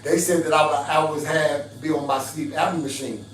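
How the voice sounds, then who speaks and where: A raspy-voiced middle-aged man on a livestream, talking straight to his viewers in an emphatic, preachy way. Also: a little high, steady tone, fairly quick, far from the mic. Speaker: fitness livestreamer. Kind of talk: casual livestream pitch to viewers. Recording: roomy livestream audio from off-mic with faint hum.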